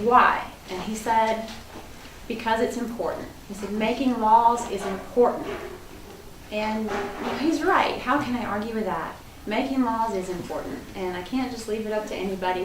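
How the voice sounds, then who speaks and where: A woman's voice: medium pitch, moderate pace. A woman giving an earnest, personal opening statement as a political candidate at a forum. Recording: roomy hall, distant mic, noisy.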